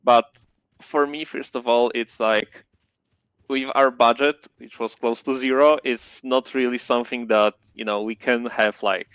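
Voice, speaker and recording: A man with a Polish accent, a native Polish speaker, chatting casually as a game developer guest in halting English full of fillers. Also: mid-range voice, fairly even intonation, fairly bright tone, clear, resonant tone, dry recording, highs cut off. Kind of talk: casual interview chat.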